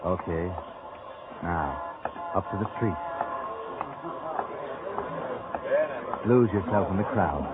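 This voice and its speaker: A man's voice: deep voice, lively intonation, measured pace, very noisy. A man playing a sardonic narrator in a 1940s radio crime drama, needling with insinuating questions like a criminal's conscience. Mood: urgent, mocking. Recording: tinny, band-limited old radio recording.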